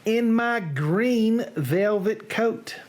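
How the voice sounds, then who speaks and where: A reader acting out a fond, musing nobleman from a Shakespeare play, with a Southern American drawl. The pitch sits mid-range and the verse comes very deliberately, each phrase stretched out theatrically. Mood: musing, nostalgic. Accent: Southern American, put on. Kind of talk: dramatic reading of Shakespeare verse.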